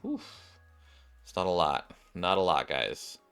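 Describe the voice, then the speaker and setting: A man's voice: low-pitched, animated, measured pace, a bit bright, smooth. A man giving casual, rambling gameplay commentary as a streamer, half muttering to himself and his viewers. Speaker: game streamer. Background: game music.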